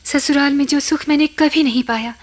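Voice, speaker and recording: A woman playing a despairing young married woman in a radio play, sorrowful, bitter and halting. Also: high voice, fairly even intonation, lightly acted. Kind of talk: Hindi radio play acting.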